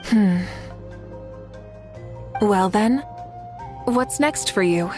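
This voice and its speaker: A woman voicing a composed, gracious warrior leader in a video game, speaking in a calm, measured, courteous way. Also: mid-range voice. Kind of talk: video game character acting. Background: music under the voice.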